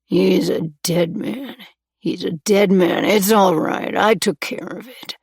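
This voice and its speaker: A frantic male kidnapper in an audiobook thriller, as a woman narrator puts him on, mumbling half to himself in a low, gravelly murmur. His words come out as muttered rambling, the voice rough with fry and recorded close and dry. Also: fairly even intonation, takes it slowly, darkish tone, no echo, full character voice. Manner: mumbling under his breath.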